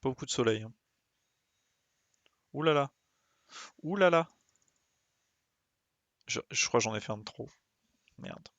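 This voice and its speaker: A man's voice: medium pitch, animated, a little slow, gravelly, long pauses, fairly bright tone, no room sound, muffled recording. A man giving casual live commentary as he plays a game, muttering short interjections as he thinks aloud. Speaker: game streamer.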